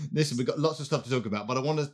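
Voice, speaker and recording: A man in his sixties hosting a wine podcast, asking brisk, chatty questions. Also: medium pitch, breathy edge. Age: 60s. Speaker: podcast host, interviewer. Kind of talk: podcast interview.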